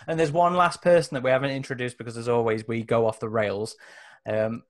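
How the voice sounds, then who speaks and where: A man in his thirties talks casually on a group roleplaying stream, where he hosts and plays. His voice has an ordinary middle pitch. He speaks into a headset from a room indoors. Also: fairly even intonation, a little fast. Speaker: host and player in a roleplaying game. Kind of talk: casual chat. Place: indoor room on a video call.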